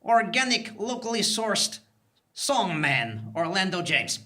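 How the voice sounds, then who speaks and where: A man's voice: high voice, big pitch swings, slow pace, background noise, full character voice. A man playing a gleefully menacing jungle tribesman in a live comic audio drama, theatrical and declamatory. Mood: matter-of-fact.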